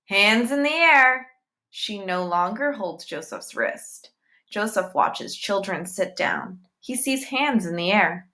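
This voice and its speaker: A woman reading a children's novel aloud in deliberate, simple phrases, as if telling it to young listeners. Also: on the low side, animated, fairly bright tone. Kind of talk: read-aloud storytelling with short asides to listeners.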